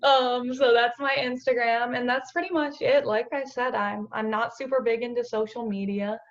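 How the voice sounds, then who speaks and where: A woman's voice: fairly high pitch, steady tone, normal speed. Young woman, faint Southern lilt, lo-fi. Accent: slight Southern US. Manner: chatty, self-deprecating, many fillers. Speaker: guest model. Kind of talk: casual interview chat.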